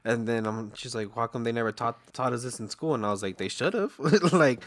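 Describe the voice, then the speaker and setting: A man's voice: medium pitch, brisk, fairly bright tone. A man hosting a casual chat as a streamer, laid-back and often laughing as he talks. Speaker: streamer host. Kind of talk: casual conversation.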